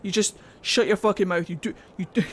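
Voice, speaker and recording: A woman's voice: mid-range voice, fairly even intonation, fairly quick, breathy edge. Young female streamer from Glasgow; casual, profane, laughing, mid-range voice. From Glasgow, Scotland. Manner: swearing, laughing often, rambling. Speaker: game streamer. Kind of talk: casual livestream chat while gaming.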